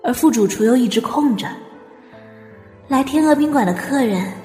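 A woman's voice: sits high, lively intonation, normal speed, warm tone, soft background music. A woman acting as an earnest, wistful young apprentice chef who also narrates an audio drama. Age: young adult.

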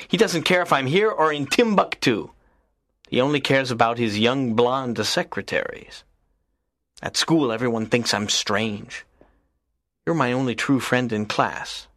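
An actor playing a lonely, earnest teenage schoolboy in an audiobook, talking in a soft, low, pleading way. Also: male voice, no room sound, clean studio sound. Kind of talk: audiobook character reading.

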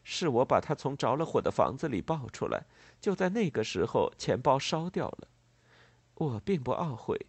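A man voicing a composed, proud, tender gentlewoman in a storybook, speaking in a measured, earnest and formal way. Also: mid-range voice, very animated, creaky, husky, no echo, voice pushed up, exaggerated voice. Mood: earnest, firm.

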